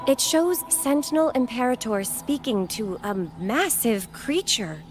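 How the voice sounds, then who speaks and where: A woman voicing a gentle, earnest young acolyte in a video game, speaking sweetly and carefully with some hesitation. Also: a little high, sing-song, a little slow, background noise. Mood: careful, hesitant.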